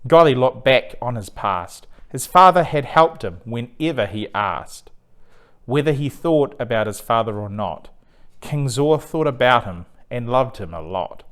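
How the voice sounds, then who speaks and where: A male storyteller reads rhyming bedtime verse with an expressive, theatrical delivery. His pitch moves up and down in a lively way, and he takes his time over the lines at an unhurried pace. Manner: animated, deliberate, theatrical read-aloud delivery. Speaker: storyteller reading a picture book.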